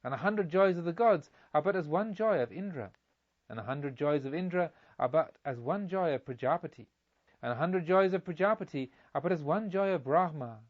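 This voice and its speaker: A man voicing a proud young merchant pilgrim in a storybook, gruff and slightly tremulous, arguing insistently. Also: a little high, expressive, a little fast, lo-fi sound, lightly acted. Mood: earnest, rising. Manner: insistent, argumentative.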